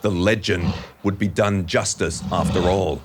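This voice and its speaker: A man reading a suspenseful story as a narrator, in a measured, dramatic scripted style. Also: deep voice, fairly even intonation, very noisy. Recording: loud music under the narration.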